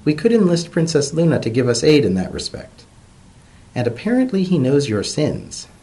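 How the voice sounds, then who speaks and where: A man acts out a female pony professor in a dramatic fantasy reading. The voice is lifted high and swings widely in pitch. She is bookish and excitable, lecturing with pedantic, hesitant care. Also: moderate pace. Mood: eager, scholarly.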